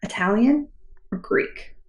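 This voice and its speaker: A woman's voice: fairly high pitch, animated, slow pace. A woman co-hosting a podcast, chatting casually about books in a roomy, echoey recording. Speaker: podcast co-host. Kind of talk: casual book chat.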